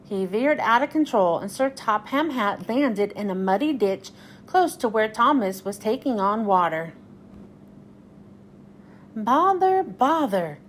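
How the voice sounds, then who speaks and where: A woman reading a children's storybook aloud in an expressive storytelling manner, giving voice to the dialogue. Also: medium pitch, moderate pace, slight background noise. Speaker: children's read-aloud host.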